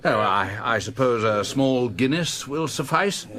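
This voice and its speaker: An actor playing a courteous, inquisitive London doctor with an English accent, hesitant and well-spoken, in an audio drama. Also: male voice, mid-range voice, lively intonation, measured pace, crowd noise, close to an everyday voice. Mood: polite, awkward.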